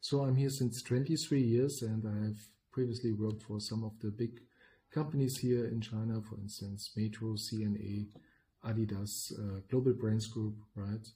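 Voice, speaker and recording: A middle-aged man with a German accent, co-hosting a podcast and explaining business topics in a measured way, often checking in with "right?". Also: lowish voice, fairly even intonation, warm tone. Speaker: podcast co-host, business consultant.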